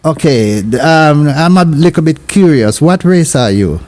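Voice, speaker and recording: A Jamaican man hosting a casual radio interview, chatty and quick to laugh. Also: on the high side, lively intonation, darkish tone. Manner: chatty, laughs often, says "I tell you". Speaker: radio host.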